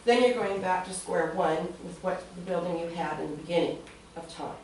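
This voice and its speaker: A woman, a local resident, speaking earnestly at a public meeting, pausing often with ums. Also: on the low side, darkish tone, slight background noise. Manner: earnest, hesitant with frequent ums. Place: council chambers. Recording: echoey chamber, distant mic.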